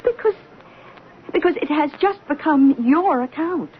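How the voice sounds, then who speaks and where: A woman's voice: high voice, animated, unhurried. An actress playing a sensible, skeptical wife who humors her husband in an old-time radio drama, by turns coaxing and exasperated. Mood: playful, coaxing. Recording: tinny, thin, faintly noisy old radio recording.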